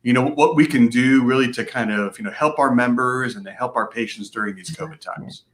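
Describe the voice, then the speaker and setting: A man's voice: medium pitch, a little fast. An American man hosting a casual moderated conversation, asking loosely phrased questions that circle before they land. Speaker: interview host, state chapter president.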